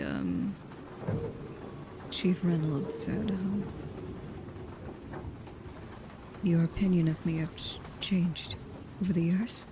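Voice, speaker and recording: A woman playing a guarded, protective vampire with a painful past, speaking slowly, softly and hesitantly, often in whispers, in an intimate ASMR roleplay. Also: on the low side, noisy background, natural voice. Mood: gentle, uneasy.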